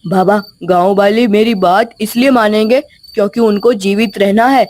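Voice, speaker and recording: A woman's voice: medium pitch, steady tone, moderate pace, darkish tone, natural voice. A woman voicing an earnest, brave young village boy in a storybook tale, polite but insistent and pleading.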